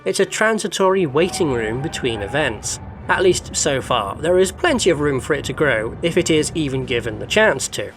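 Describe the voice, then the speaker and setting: A man's voice: on the high side, a bit bright. A man presenting scripted opinion commentary as a video essay host, with a wry, critical manner. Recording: background music behind voice. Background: music.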